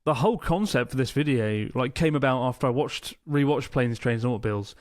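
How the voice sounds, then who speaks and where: A man's voice: mid-range voice, fast talker, dry recording. Podcast guy chatting, swears a lot, dead dry sound, mid voice. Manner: swears freely, animated storytelling. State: in pain from a strained arm muscle. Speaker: podcast co-host. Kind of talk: casual chat.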